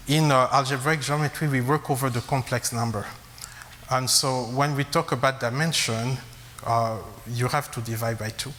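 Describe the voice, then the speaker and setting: A man who grew up in Congo giving a math lecture, halting with frequent fillers and restarts. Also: medium pitch, fairly bright tone. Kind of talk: academic lecture at a blackboard. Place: lecture hall. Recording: long reverb, faint hiss.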